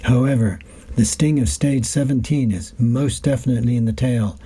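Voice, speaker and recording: A man's voice: mid-range voice, normal speed, mellow, slightly rough. A man reading his own written reports as scripted narration, in descriptive, literary phrasing. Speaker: wine and travel writer reading his reports.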